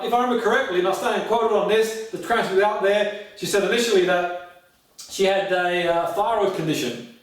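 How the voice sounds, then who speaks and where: High-voiced male motivational speaker, very echoey room. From Australia. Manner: direct address with repeated catchphrases and rhetorical questions. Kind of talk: motivational goal-setting seminar talk. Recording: very echoey room, distant mic.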